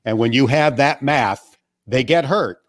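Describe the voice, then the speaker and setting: A man from western New York who is a radio play-by-play broadcaster, answering interview questions in a measured, self-deprecating way from his family room. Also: medium pitch, dry recording, studio quality. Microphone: remote call from home.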